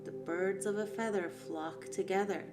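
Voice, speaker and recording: A woman whose first language is Farsi speaks as an art therapy teacher, calm and reflective with gentle emphasis. Her voice sits at a middle pitch. Clear music plays behind her throughout. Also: normal speed. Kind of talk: guided art lesson talk.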